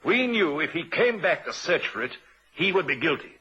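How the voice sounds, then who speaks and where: An actor playing a shrewd, unhurried police inspector in an old-time radio drama, a man with a measured, probing, quietly assured manner. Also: on the high side, big pitch swings, breathy edge, exaggerated voice. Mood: explaining. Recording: muffled vintage radio recording.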